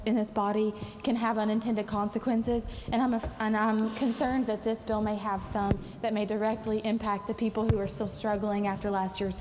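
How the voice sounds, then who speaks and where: A woman's voice: on the high side, background noise. A woman legislator losing her voice, making an earnest, hesitant floor speech in a chamber. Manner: earnest, hesitant with many fillers. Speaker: state delegate. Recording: long hall reverb, faint ambience.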